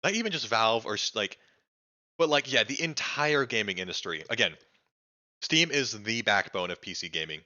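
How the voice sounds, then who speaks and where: A young streamer reacts out loud with excitable chatter, cursing casually and leaning on "like". His voice comes across bright and forceful. The audio is dull and lo-fi, like a home stream mic. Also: lowish voice, normal speed. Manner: excitable, swears freely, fills speech with "like".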